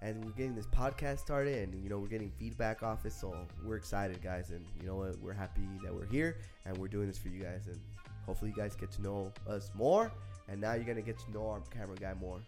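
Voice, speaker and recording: A man co-hosting a podcast, relaxed and slangy, teasing his friends as he talks. Faint music plays in the background. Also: lowish voice, fast talker. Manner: laid-back, slangy, joking. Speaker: podcast co-host. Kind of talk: casual chat.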